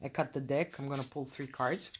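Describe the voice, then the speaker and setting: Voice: medium pitch, fairly even intonation, fairly quick, a little hiss or hum. Solo podcast host with a Croatian accent, chatty and effusive, non-native English, a touch self-conscious. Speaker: podcast host, tarot reader. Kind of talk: casual solo podcast chat.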